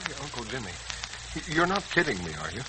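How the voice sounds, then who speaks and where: An actor playing an eager, impatient young husband in a 1940s radio serial, boyish and exclamatory, on a crackly recording. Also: male voice, mid-range voice, sing-song, fast, loud music behind the voice, lightly acted.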